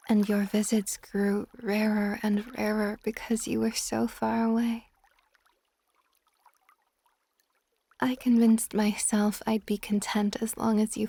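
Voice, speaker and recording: Soft, husky, slow-speaking dragon goddess played by a woman. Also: mid-range voice. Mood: wistful, tender. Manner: soft, breathy, slow, often dropping to whispers. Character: possessive, tender ancient dragon goddess, older woman in love. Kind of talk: intimate ASMR audio roleplay.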